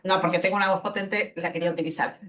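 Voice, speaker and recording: A woman with an Argentine accent giving a spontaneous panel presentation, emphatic and deliberate, repeating words as she builds her point. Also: on the low side, fairly even intonation, a bit bright. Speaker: panelist, health-rights lawyer.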